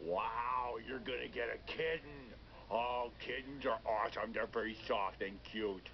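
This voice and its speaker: A man voicing a nervous, literal-minded llama, awkward and friendly, halting and repeating himself, as a character on a children's radio phone-in. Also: medium pitch, steady tone, creaky, voice pushed down. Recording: muffled, noisy re-recording off a speaker.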